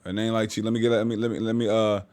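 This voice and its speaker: A man's voice: fairly low pitch, rapid-fire. A man hosting a reaction video, joking and swearing in casual commentary. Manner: joking, animated, profane.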